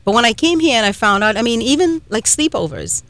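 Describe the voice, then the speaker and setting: A woman's voice: fairly high pitch, big pitch swings, brisk, fairly bright tone, no room sound. Chatty Trinidad-born woman radio host. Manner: chatty, emphatic, with frequent fillers. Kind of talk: talk radio chat. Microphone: studio microphone.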